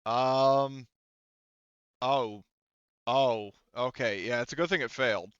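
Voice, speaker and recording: Male game master, unhurried and halting, thinks aloud through rules; smooth voice, slightly dull dry recording. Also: medium pitch, fairly bright tone, no echo. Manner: hesitant, thinking aloud while working out rules. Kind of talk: running a tabletop roleplaying game, casual.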